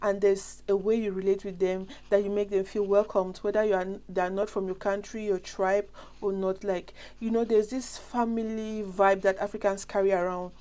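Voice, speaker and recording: A woman's voice: mid-range voice, little pitch movement, moderate pace, warm tone, clean tone. A young woman with a Cameroonian accent who has a flu, chatting casually and ramblingly as she addresses her viewers directly. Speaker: vlogger.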